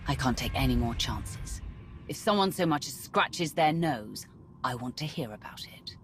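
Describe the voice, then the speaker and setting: Low-voiced ruthless Sith commander woman. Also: animated, normal speed, slight background noise. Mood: stern, commanding. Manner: cold, menacing, commanding. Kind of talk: cinematic video game voice acting.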